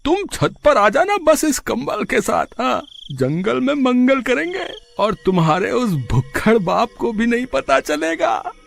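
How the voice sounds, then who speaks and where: A man's voice: extremely high pitch, sing-song, measured pace, gravelly, faint music behind, full character voice. A man voicing a goofy, flirty village rogue who woos with a smarmy, giggly manner in a cartoon.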